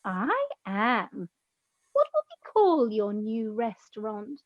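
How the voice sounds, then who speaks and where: An actress playing a naive, dramatic goddess of love in a comic radio play, speaking with theatrical incredulity, on a slightly dull, roomy recording. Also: female voice, on the high side, huge pitch swings, slow, full character voice. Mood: intrigued, eager.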